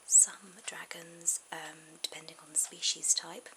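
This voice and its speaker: A woman softly whispering an ASMR drawing tutorial in a breathy, informal way, pausing mid-thought. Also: deep voice, little pitch movement. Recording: very noisy amateur camera audio.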